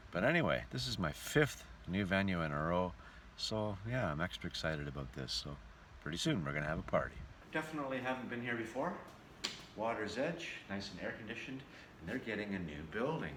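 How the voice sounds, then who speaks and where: A man's voice: deep voice, a bit bright, slight background noise. A man who entertains as a touring singer and show host, chatting casually in a folksy way as in a travel vlog or between songs.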